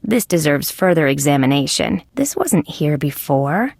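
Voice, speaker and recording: An actress playing a wry, level-headed female insect detective in a scripted video game, with a deadpan, dryly sarcastic manner. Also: low-pitched, a little slow, gravelly, no room sound, studio quality, heavily acted. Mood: matter-of-fact, musing. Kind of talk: scripted adventure game voice lines.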